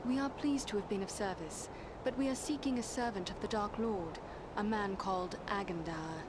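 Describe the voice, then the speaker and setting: An actress playing a determined, courteous adventurer in a fantasy game, speaking in a poised, formal way. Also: female voice, mid-range voice, moderate pace, background noise, natural voice. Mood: courteous, earnest. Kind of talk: fantasy game cutscene acting.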